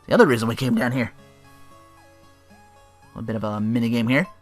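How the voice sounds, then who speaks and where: A man giving casual, animated, sweary let's play commentary on a video game. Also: medium pitch, brisk, faint music behind. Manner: profane, animated.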